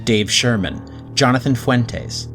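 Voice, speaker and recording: A man's voice: medium pitch, expressive, slow, fairly bright tone. Male podcast announcer over music. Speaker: podcast announcer reading credits. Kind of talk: scripted credits narration.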